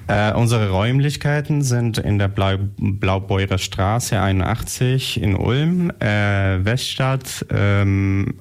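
Guest, man, 36, very low and gravelly, slow, halting with fillers. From Germany. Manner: hesitant, many fillers. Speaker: guest, association board member. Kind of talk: radio interview.

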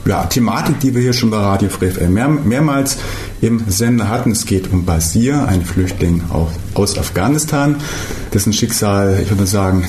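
A man hosts a studio radio interview, his voice low and warm. He hesitates, restarts and fills pauses as he talks. The room adds a noticeable echo. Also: slight background noise. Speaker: radio host. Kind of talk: radio talk show interview.

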